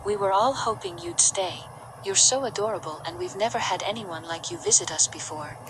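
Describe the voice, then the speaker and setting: A woman's voice: mid-range voice, expressive, normal speed, natural voice. A woman voicing coaxing, menacing candy creatures in a horror story read aloud. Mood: disappointed, coaxing. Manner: sweet, sinister. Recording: clearly noisy background.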